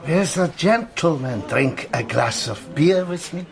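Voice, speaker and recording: An actor playing a small, sly German wartime agent with a German accent, speaking deliberately in an audio drama. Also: male voice, on the high side, lively intonation, creaky, a crowd in the background. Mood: eager, hospitable. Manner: deliberate, courting, peppers speech with German words.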